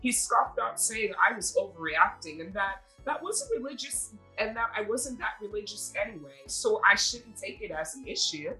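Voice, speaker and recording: Bright-voiced woman hosting a casual podcast. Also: mid-range voice, expressive, moderate pace, hardly any pauses, soft background music, low-quality recording. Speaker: podcast host. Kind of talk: casual chat with reading aloud of online stories.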